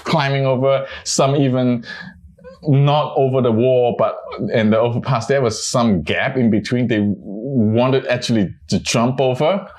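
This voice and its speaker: A middle-aged man from Hong Kong, a photojournalist speaking in an interview, halting and searching for his words with many restarts. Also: medium pitch, fairly even intonation, darkish tone. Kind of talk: interview, personal reflection.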